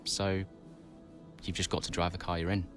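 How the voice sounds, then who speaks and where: A deep male voice playing a humble, reflective racing driver in a game. He answers in a measured, understated interview manner over faint music. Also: flat delivery, fairly bright tone. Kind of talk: post-race interview acting.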